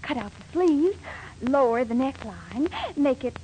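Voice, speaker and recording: High-voiced, plucky young American woman. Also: animated, unhurried, dark tone, poor audio. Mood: eager, scheming. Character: plucky, scheming young sweetheart living with her mama. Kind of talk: 1940s radio sitcom acting. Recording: hissy 1940s radio recording.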